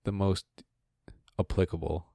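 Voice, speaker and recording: A woman's voice: rumbling low, steady tone, unhurried, gravelly, mellow, dry recording. A young woman co-hosting a podcast, talking in a laid-back, dry, wry way. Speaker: podcast co-host. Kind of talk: casual chat.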